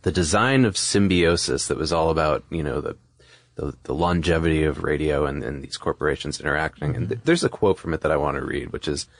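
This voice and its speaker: A man's voice: extremely low pitch, brisk, dry recording. A man chatting and explaining in a casual, discursive way as a podcast co-host. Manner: conversational, discursive.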